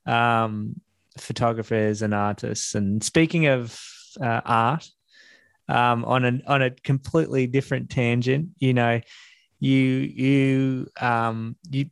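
A man's voice: mid-range voice, steady tone, slow pace, gravelly, clean tone, dry recording. A man hosting a casual interview, chatty and enthusiastic, often restarting his sentences. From Australia. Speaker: podcast host.

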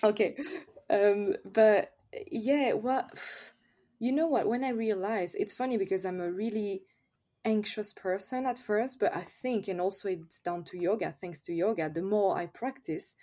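French-accented woman, mellow voice. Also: mid-range voice, unhurried. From France. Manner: chatty, open, frequent fillers like "you know". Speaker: yoga teacher, guest. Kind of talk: casual podcast interview.

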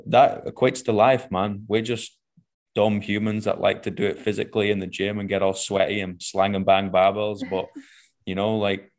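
A man's voice: on the low side, little pitch movement, moderate pace. A man with a Northern English accent, a fitness coach, talking bluntly and swearing often, ending his points with "right?" and "man". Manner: blunt and profane, says "right?" and "man" after almost every point. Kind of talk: casual podcast chat.

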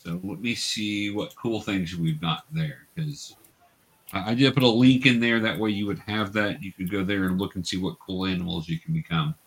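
A man's voice: sits low, steady tone, moderate pace, slight background noise. A man acting as game master, patiently narrating and explaining the rules of a tabletop role-playing game to beginners.

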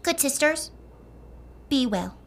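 Sugary, swooping Puritan gossip. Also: female voice, high voice, expressive, slow pace, a bit bright, exaggerated voice. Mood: pious, fervent. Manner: sugary, sanctimonious, theatrical. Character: pious gossipy Puritan woman leading a sewing circle. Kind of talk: comedic audio drama acting.

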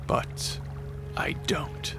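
An actor playing Dracula as a brooding, restrained vampire count in a radio drama, speaking in measured, formal phrases. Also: male voice, sharp tone. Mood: measured, stern. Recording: music behind the voice.

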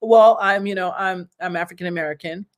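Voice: mid-range voice, fairly even intonation, a little fast. A host interviewing a guest on a live show, affirming her often and reading out viewer comments. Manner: affirming, frequent backchannels. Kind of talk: livestream interview.

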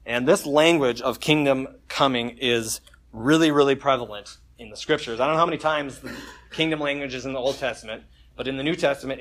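A man's voice: medium pitch, a bit bright. A man preaching a conversational sermon at a church service, joking casually and reading scripture with emphasis. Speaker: pastor.

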